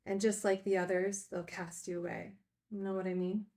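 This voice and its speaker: A woman's voice: medium pitch, little pitch movement, moderate pace, a little mellow, lightly acted. Woman plays female recovering addict, group-home teacher; candid, wry, naturalistic film drama, mid-range voice. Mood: reflective, confessional. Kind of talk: naturalistic screenplay table read.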